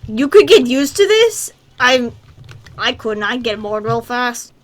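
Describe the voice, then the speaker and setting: A woman's voice: a little high, sing-song, measured pace. A woman giving casual gameplay commentary, muttering exasperated asides to herself as she plays. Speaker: gaming video host.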